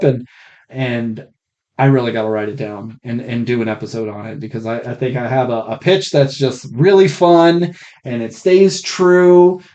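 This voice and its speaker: A man's voice: mid-range voice, mellow. A man co-hosting a podcast in casual movie review chat, blunt, sweary and rambling. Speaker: podcast co-host. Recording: muffled, rough faulty podcast mic.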